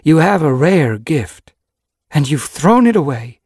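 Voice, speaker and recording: A man voicing a wise old female cat in an audiobook story, stern but caring, with measured, admonishing speech. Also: fairly low pitch, darkish tone, slightly rough, no room sound, clean studio sound, voice pushed up.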